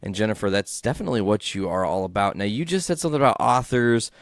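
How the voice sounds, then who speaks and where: A man hosts a podcast interview, cheering his guest on with eager questions. His voice sits on the lower side. The sound is dry and close, with no room around it. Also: quick pace, dry recording. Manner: enthusiastic, encouraging interviewer. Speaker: podcast host. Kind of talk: casual interview chat.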